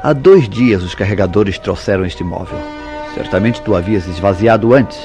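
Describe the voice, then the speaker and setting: A man's voice: lowish voice, moderate pace, lightly acted. A Brazilian man acting a jealous, suspicious newlywed husband in a radio soap opera, speaking in a formal, theatrical way. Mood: stern, accusing. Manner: formal, theatrical, interrogating his wife. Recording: radio drama with music behind.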